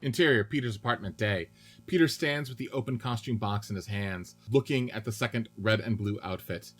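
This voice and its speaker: Low-voiced man narrating a script. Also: a little fast, a bit bright, soft background music. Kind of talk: scripted radio-play narration of stage directions.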